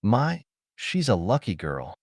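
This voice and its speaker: Man acting blustering, excitable executive with swooping pitch. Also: slow pace, no echo, studio quality, heavily acted. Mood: pleased, pompous. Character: blustering male network executive, pompous, easily panicked.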